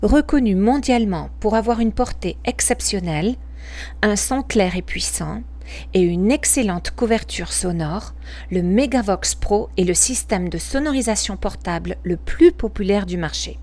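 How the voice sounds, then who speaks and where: A woman reading a scripted promotional product narration in a measured, articulate and informative way. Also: mid-range voice, lively intonation, darkish tone.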